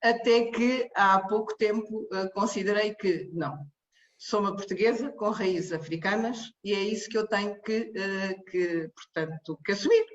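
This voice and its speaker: A woman from Cape Verde, the technical director of a social care charity, giving a personal testimony in a hesitant way, full of pauses and restarts. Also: medium pitch. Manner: hesitant, many fillers and restarts. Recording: echoey, muffled room sound.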